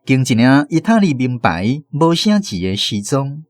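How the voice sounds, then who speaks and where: A man with a Taiwanese accent narrating a scripted radio drama story in an expressive, literary way. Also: medium pitch, a little slow, dry recording. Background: faint music.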